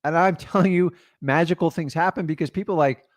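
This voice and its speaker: A man's voice: on the high side, fast talker, dry recording. Emphatic middle-aged man coaching, dry sound. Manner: emphatic, motivational, direct. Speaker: real estate coach. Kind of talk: energetic coaching talk.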